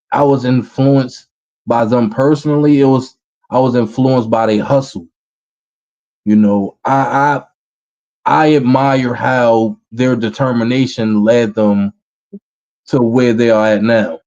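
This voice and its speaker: A man in his thirties with a New York accent, a rapper answering interview questions in a relaxed way. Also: medium pitch, takes it slowly, dull audio. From Brooklyn, New York, USA. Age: 30s. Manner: relaxed, repeats "feel what I'm saying". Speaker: rapper guest.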